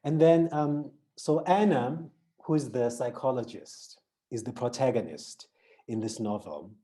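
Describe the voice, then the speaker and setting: A man's voice: medium pitch, measured pace, dark tone. A man with a South African accent interviewing an author, first reading an introduction and then asking questions with many restarts. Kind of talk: book interview.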